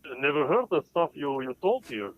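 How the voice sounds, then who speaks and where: A man's voice: on the high side, very animated, no echo. Dull-sounding call, hesitant Albanian-accented man. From Balkans, Albanian. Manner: hesitant, halting, unsure. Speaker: caller. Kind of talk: casual conversation on a live call. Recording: dull, band-limited call audio.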